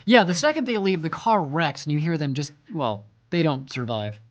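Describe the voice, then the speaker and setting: A man with a lively, swooping delivery gives casual commentary as a show's creator. He jokes and playfully boasts with the others. The recording is slightly muffled. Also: a little high, moderate pace. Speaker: show creator giving DVD commentary. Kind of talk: casual group commentary chat.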